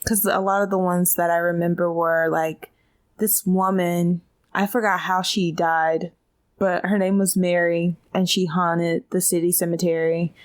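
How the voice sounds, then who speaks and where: A woman's voice: fairly low pitch, little pitch movement, a little slow. A woman co-hosting a podcast, chatting casually and conversationally. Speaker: podcast co-host. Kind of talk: casual chat.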